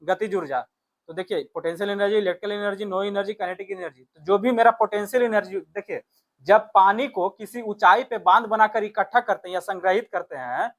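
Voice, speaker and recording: A man's voice: high voice, a little fast, a bit bright. A man teaching an exam-prep lesson, explaining instructively and repeating key points. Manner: instructive, repeats points and reads questions aloud in Hindi and English.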